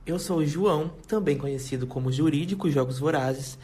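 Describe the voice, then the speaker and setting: A Brazilian man from Paraná introduces himself on a podcast with eager enthusiasm. His voice sits a little on the high side for a man. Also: fairly quick, warm tone, soft background music. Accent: Brazilian Portuguese. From Londrina, Paraná, Brazil. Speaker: podcast co-host.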